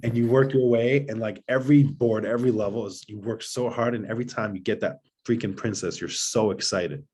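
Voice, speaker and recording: A man, a father of seven, guesting on a podcast and talking earnestly in long rushing runs of advice. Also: lowish voice, steady tone, a little mellow, a little hiss or hum, rough recording. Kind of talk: conversational advice.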